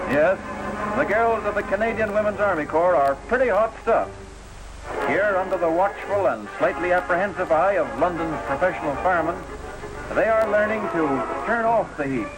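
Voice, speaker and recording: A man's voice: high-pitched, low-quality recording. A man reading scripted 1940s newsreel narration in a formal, patriotic announcer manner, on an old film soundtrack. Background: loud newsreel music.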